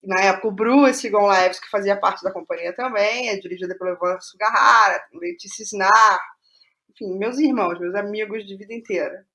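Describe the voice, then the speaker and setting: A middle-aged Brazilian woman with a Rio accent speaks in a mid-range voice. She talks informally as a guest, in a halting conversational flow, often repeating a phrase to stress it. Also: mid-range voice, sharp tone. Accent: Brazilian, Rio de Janeiro. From Rio de Janeiro state, Brazil. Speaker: playwright, interview guest. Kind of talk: casual conversational storytelling.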